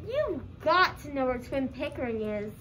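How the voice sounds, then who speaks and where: A woman's voice: sits high, very animated, normal speed, bright, forceful tone, heavily acted. A young female student voicing a puppet character in a scripted comic puppet show. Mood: puzzled, exasperated. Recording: faint background noise.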